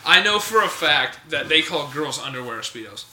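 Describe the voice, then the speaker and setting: A man's voice: a little high, expressive, normal speed, sharp tone, slight background noise. A young man co-hosting a podcast, joking and boasting in casual chat. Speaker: podcast co-host. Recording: roomy echo.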